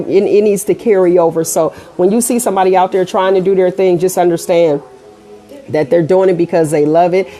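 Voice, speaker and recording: A middle-aged woman with an American accent hosts a casual livestream show. Her voice sits slightly low. She talks straight to her viewers in animated, colloquial language, often stepping aside from her point and returning to it. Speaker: online radio show host.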